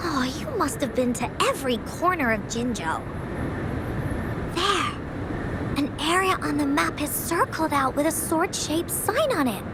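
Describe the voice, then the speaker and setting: A woman voicing a cheerful, excitable little girl adventurer in a video game, playful and bubbly. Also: sits high, measured pace, background noise. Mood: curious, excited. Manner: refers to self in third person, bubbly. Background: music.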